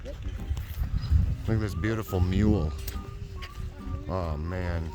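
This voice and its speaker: A man narrating his backpacking trip to the camera outdoors on a trail, chatty and self-deprecating, his voice going hoarse. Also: deep voice, very slow, music in the background. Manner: chatty, self-deprecating, addresses viewers directly. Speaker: backpacking vlogger. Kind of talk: casual vlog narration on the trail. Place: outdoors on a hiking trail by a creek.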